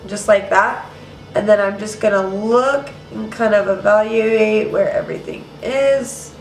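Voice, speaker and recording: A young woman hosting a makeup tutorial in a casual, chatty way, a little nasal and congested from allergies. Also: mid-range voice. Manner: chatty, casual, says "girl" and "you guys". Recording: roomy, mic at some distance.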